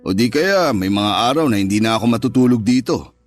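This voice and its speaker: A man's voice: medium pitch, animated, a little fast, soft background music, close to an everyday voice. A man with a Filipino accent playing a wealthy, jealous, controlling businessman lover in a Tagalog radio drama. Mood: reassuring. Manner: controlling, alternately reassuring and threatening.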